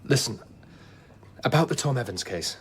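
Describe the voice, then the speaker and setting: An actor playing an earnest, guarded doctor in a radio drama, terse and polite. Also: male voice, medium pitch, lively intonation, normal speed, slight background noise, natural voice. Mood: awkward. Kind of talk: BBC radio drama acting.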